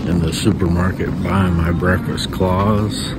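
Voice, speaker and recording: A man's voice: sits low, steady tone, a little slow. A middle-aged man with an American accent talks casually to camera in a plain, repetitive way, explaining things as a vlogger. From California, United States. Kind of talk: casual vlog narration to camera. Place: camper van and parking lots. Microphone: phone at arm's length. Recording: noisy handheld recording with vehicle and outdoor ambience.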